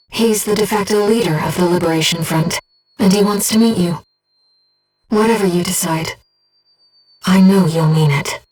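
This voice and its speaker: A disembodied female companion from a mech video game, guiding her pilot as mission operator. Her medium-pitched voice is measured and precise, with soft, devoted earnestness. She sounds slightly distant, with music behind her. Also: breathy edge.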